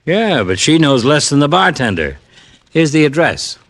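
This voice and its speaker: Animated, grudging police detective. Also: male voice, a little high, normal speed, heavy background noise. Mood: resigned. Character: grumbling but cooperative male police detective. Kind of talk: 1940s-50s radio drama acting.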